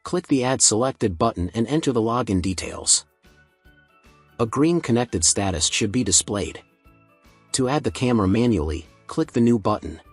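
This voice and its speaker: A man reading a scripted software tutorial in a measured, procedural instructional manner. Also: medium pitch, animated, husky, dry recording, faint music behind.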